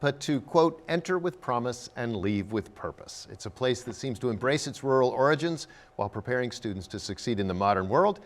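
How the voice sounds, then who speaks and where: A man hosting a conversational television interview, speaking in a measured, thoughtful way. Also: mid-range voice. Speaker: interview host.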